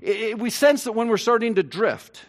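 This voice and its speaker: A man preaching to his congregation in a slightly raised voice. His delivery is earnest and often halting, full of fillers and restarts, then climbs to emphatic peaks. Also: high-pitched. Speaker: pastor. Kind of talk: sermon.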